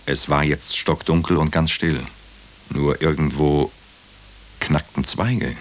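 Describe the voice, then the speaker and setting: A man playing a nervous doctor who narrates his own night-time ordeal in a suspenseful, deliberate way for a radio crime drama. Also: very deep voice, steady tone, a little hiss or hum. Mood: tense, watchful.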